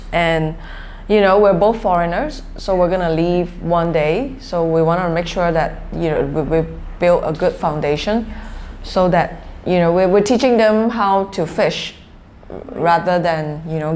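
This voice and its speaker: A woman in her forties with a Malaysian accent and a fairly low voice. She explains things conversationally, feeling her way through her answers. There is some background noise around her. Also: darkish tone. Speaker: interview guest, social enterprise founder. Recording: clearly noisy background ambience.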